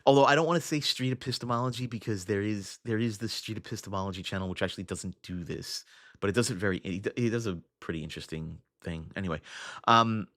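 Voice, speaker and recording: Low-voiced man rambling on a livestream. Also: quick pace, a bit bright, dry recording, studio quality. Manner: rambling, thinking aloud with many restarts and fillers. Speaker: livestream host. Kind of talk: casual livestream chat reading viewer comments.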